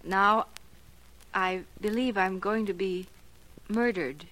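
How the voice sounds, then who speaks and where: A woman's voice: mid-range voice, slow pace, fairly bright tone, rough recording. An actress playing a plain, resigned woman of thirty-four in a 1940s radio drama, confiding in hesitant, stammering phrases. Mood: resigned, calm.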